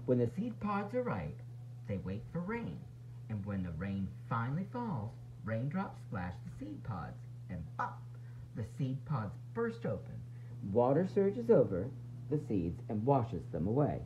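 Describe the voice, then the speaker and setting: Very animated man reading to young children. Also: mid-range voice, a little slow, muffled recording, a little hiss or hum. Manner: dramatic emphasis with playful asides. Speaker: teacher reading a picture book aloud.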